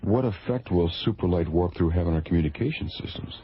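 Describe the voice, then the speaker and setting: Male actor, casual space captain for radio drama, very deep voice, muffled lo-fi recording. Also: a little fast, a little hiss or hum, close to an everyday voice. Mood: businesslike. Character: easygoing, inquisitive male space exploration captain.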